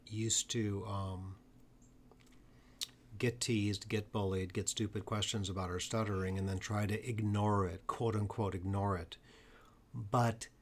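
Guy hosting a podcast, earnest, sometimes stutters, lowish voice. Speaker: podcast host. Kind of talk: podcast conversation.

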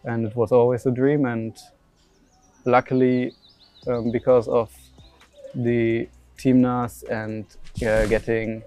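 A man's voice: mid-range voice, flat delivery, takes it slowly, mellow, soft background music. A young man from Germany answering interview questions about his football career, hesitant, with many fillers and restarts. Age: young adult.

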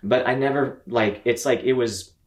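A man's voice: medium pitch, normal speed. A man in his thirties from Mississippi, telling his story conversationally as an interview guest, in a reflective, explanatory way. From Mississippi, United States. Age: 30s. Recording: roomy, echoing sound.

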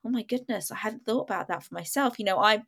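A woman hosting an interview, with a loud and lively way of talking. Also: medium pitch, quick pace, vocal fry. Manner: loud, lively, asks long questions. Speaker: interview host. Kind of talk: conversational interview.

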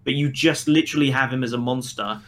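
A man's voice: mid-range voice, fast talker, fairly bright tone. A man co-hosting a review podcast, chatting casually and making his points emphatically and argumentatively. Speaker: podcast co-host reviewing a film. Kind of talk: casual opinionated discussion.

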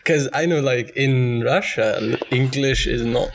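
A man in his twenties hosts a relaxed podcast conversation, prompting his guest and restating the guest's points. His voice sits at an ordinary middle pitch. A faint hum of noise runs underneath. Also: unhurried, vocal fry. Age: 20s. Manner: friendly, encouraging interviewer who sums up the guest's words. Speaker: podcast host. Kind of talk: casual podcast chat.

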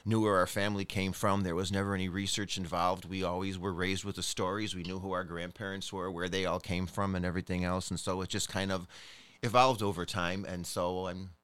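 A man's voice: low voice, level tone, fairly quick, a bit bright, clear, resonant tone. A man being interviewed as a guest, self-deprecating and laughing easily.

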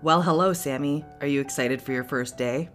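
A tall, genial sheriff played by a woman reader speaks with easy authority in a story. The voice is low, and faint music plays underneath. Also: moderate pace, lightly acted. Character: tall, imposing, friendly male sheriff. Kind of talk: storybook character dialogue.

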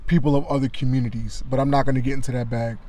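A man with a loud voice giving casual, conversational commentary on sports media, often adding "you know what I mean.". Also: mid-range voice, flat delivery, racing.

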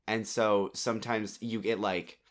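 Laid-back man, low-voiced podcast co-host. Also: a little slow. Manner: relaxed, thoughtful, dry humor, lots of "like". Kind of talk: casual podcast chat.

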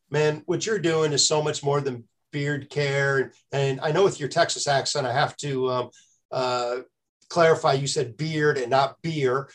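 A man hosting a podcast, talking in a conversational way with frequent stammered restarts. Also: fairly high pitch. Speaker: podcast host. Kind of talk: casual podcast interview.

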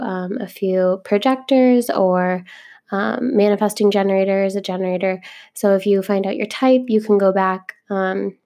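A woman's voice: medium pitch, creaky, a little mellow. A young woman hosting a casual solo podcast, chatty with lots of ums and likes, a bit sniffly from a cold. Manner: chatty, filler-heavy, frequent ums and likes. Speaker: podcast host.

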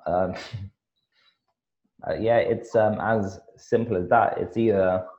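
A man with a very low voice talks through a podcast conversation, stopping and restarting often. He fills his gaps with ums and repeats words as he goes. The sound is dull and lo-fi. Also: fairly even intonation. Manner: halting, with many fillers and repetitions. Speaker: podcast guest. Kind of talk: casual conversation.

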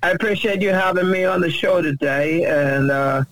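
A man's voice: sits high, normal speed, over a noisy crowd, rough recording. A man, a guest telling his life story earnestly on a faith radio talk show. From Uganda. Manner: earnest, upbeat storyteller who chuckles easily. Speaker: guest, worship minister. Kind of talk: casual radio interview, personal story.

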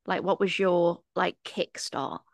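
A woman's voice: fairly low pitch, slow pace, gravelly, no room sound. Woman host, enthusiastic, dry close-miked sound. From East Midlands, England. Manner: upbeat, enthusiastic interviewer. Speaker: podcast host. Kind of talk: casual interview chat.

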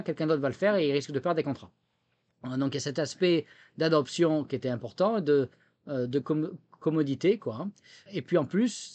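Higher-voiced Frenchman explaining, searching for words. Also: darkish tone. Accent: French with a slight American tinge. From France. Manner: hesitant word-searching with repeated words. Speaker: technology entrepreneur and former government official, interview guest. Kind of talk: explanatory interview answers.

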